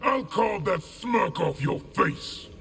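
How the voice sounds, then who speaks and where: Brutal army general, harsh gravelly rasp, lively threatening delivery, shouts. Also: male voice, high voice, takes it slowly, soft background music, exaggerated voice. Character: ruthless proud male warlord general. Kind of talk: video game character voice acting.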